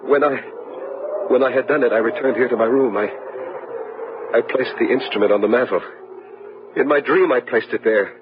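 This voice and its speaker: An actor playing a tormented man consumed by fear and madness, halting and repeating himself in an old-time radio horror drama. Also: mid-range voice, noisy background, close to an everyday voice. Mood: dreading. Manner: halting, repeating words in dread. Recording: muffled old radio recording, music behind.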